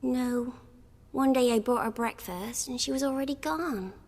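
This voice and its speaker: A woman's voice: high voice, moderate pace, a little mellow, in a large hall. An eager, chirpy little girl. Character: earnest little village girl.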